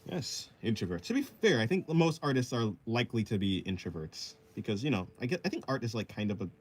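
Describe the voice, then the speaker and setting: A man's voice: medium pitch, big pitch swings, brisk. A man of twenty, a live-streaming artist, chatting casually with his viewers and answering their comments while he draws. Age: 20s. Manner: laid-back, reads chat aloud and answers. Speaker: art streamer.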